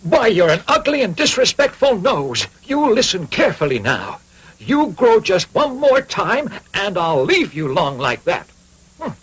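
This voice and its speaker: A man's voice: high-pitched, wildly swooping pitch, unhurried, heavy background noise, full character voice. An actor playing a kindly old woodcarver and father, muttering and exclaiming as he gets exasperated, in a cartoon dub. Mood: indignant. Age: older. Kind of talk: animated cartoon dub acting. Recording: music behind the voice.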